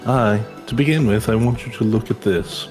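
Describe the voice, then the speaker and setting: Man voicing a low-voiced earnest young adventurer. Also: moderate pace, over music, natural voice. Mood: earnest, nervous. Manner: formal, sincere, careful phrasing. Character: earnest, polite young male adventurer, determined yet shy. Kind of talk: fantasy game character read-aloud.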